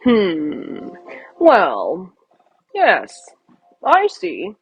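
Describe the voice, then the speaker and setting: A woman voicing a pompous, puzzled male doctor in a storybook, with a brisk professional manner. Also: lowish voice, huge pitch swings, breathy edge, highs cut off, exaggerated voice. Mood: pondering. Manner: exclaiming, listing, mumbling.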